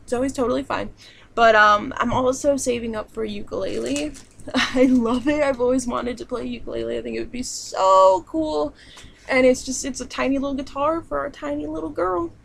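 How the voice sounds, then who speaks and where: Young woman rambling thru a q&a vlog, New York-Pennsylvania twang, kinda gravelly, bit high. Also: a bit bright, slight background noise. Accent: southern New York and central Pennsylvania. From southern New York. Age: young adult. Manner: rambling, bubbly, lots of ums and likes. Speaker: vlogger. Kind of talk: casual Q&A vlog.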